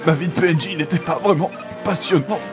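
A man's voice: fairly high pitch, a little fast, over music, close to an everyday voice. An actor playing a hapless, cowardly henchman in a sci-fi radio comedy, whining as he talks. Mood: meek resignation.

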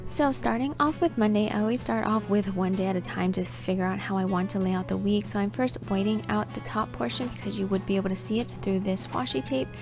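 A young woman talking through her planner in a casual voiceover, chatty and a bit scatterbrained, her voice slightly raspy as she is getting sick. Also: mid-range voice, steady tone, quick pace, hardly any pauses. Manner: chatty, scatterbrained with self-corrections. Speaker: planner vlogger. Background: background music.

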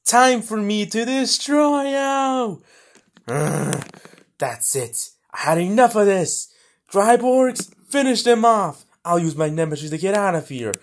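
A man doing a theatrical cartoon supervillain with wild pitch swings. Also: a bit bright, heavily acted. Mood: commanding, furious. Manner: melodramatic, taunting, drawn-out exclamations. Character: gloating, theatrical male cartoon supervillain inventor, vengeful and hot-tempered. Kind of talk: amateur cartoon villain voicelines.

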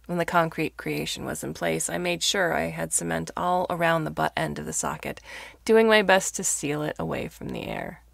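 Woman reading a story, lowish really gravelly voice, dry close sound, measured and expressive. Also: no room sound. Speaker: story narrator. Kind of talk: scripted audiobook-style narration of fiction.

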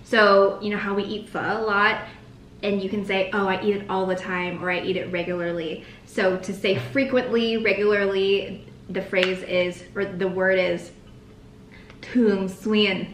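A young woman from the United States co-hosting a food vlog, chatting casually at the dinner table, laughing often and saying short phrases slowly for her partner to repeat. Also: medium pitch, little pitch movement. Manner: laughs often, teaches slow careful phrases. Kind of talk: casual chat. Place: bright dining room indoors, at a table. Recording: echoey room, faint background hiss.

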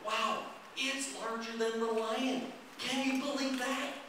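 Man voicing a high-pitched, excitable puppet boy, echoey hall. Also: takes it slowly. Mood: amazed, curious. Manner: exaggerated, wondering, gee-whiz delivery. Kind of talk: children's puppet show dialogue. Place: large indoor library hall, live stage. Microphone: distant camera microphone. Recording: echoey hall from far off, noisy.

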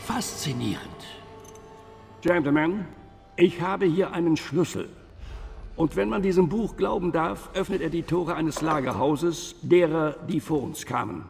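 A man playing a grave, assured gentleman who leads a secret order in a video game, formal, deliberate and authoritative. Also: on the high side, vocal fry, soft background music. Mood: intrigued. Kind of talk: dubbed video game cutscene dialogue. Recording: long reverberant hall.

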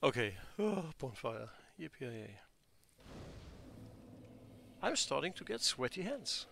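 A German-accented man in his forties narrates his gameplay slowly and unhurriedly, his voice heavy with gravelly fry. The tone stays bright and forceful as he jokes and snaps at enemies, speaking into a headset, with a faint hiss underneath. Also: mid-range voice, sing-song. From Germany, Bavaria. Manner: joking, talks back at enemies. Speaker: gameplay commentator. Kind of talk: casual let's-play commentary.

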